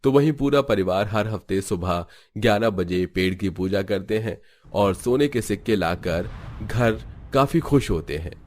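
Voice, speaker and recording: A man's voice: on the low side, expressive, a little slow. A man narrating a scripted cartoon family story.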